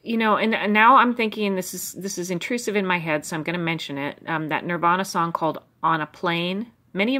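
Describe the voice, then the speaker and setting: A middle-aged American woman with a low voice talks over her old diaries. She drifts from thought to thought and fills the gaps with ums and you-knows. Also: pushed and bright. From United States. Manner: musing, stream of consciousness, many fillers. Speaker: vlogger reading her old journals. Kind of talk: rambling personal commentary.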